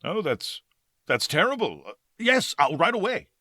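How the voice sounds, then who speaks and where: A man plays an earnest, skeptical small-town doctor in a vintage radio play. His voice is forceful and bright, and his pitch moves freely as he talks to his wife. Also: sing-song, normal speed, a little hiss or hum, exaggerated voice. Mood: grave, urgent. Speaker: actor. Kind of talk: old-time radio drama acting.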